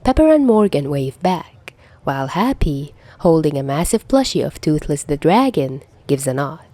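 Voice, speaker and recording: Woman, story narrator, lowish pitch, soft mellow tone, lively intonation, close dry sound. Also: moderate pace, no room sound. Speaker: podfic narrator. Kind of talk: scripted story narration, audiobook style.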